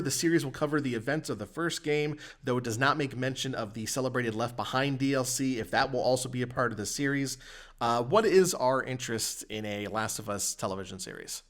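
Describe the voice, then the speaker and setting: A man's voice: mid-range voice, fairly bright tone. A man hosting a podcast, presenting entertainment news and chatting casually about it. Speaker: podcast host. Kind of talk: reading news and discussing games in casual podcast talk.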